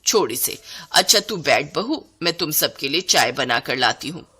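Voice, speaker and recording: A middle-aged Indian mother-in-law from a cartoon family tale, acted by a woman narrator. Her voice sits low and swings widely in pitch. She scolds and frets in dramatic, exasperated exclamations. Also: expressive, moderate pace, bright, forceful tone, husky, heavily acted. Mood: coaxing.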